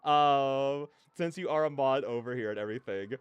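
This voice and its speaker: A man's voice: medium pitch, moderate pace, smooth, dry recording, dull audio. A man livestreaming in a casual, rambling way, often interrupting himself with slangy asides into a close microphone. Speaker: livestreamer, content creator. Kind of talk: casual livestream rant and chat.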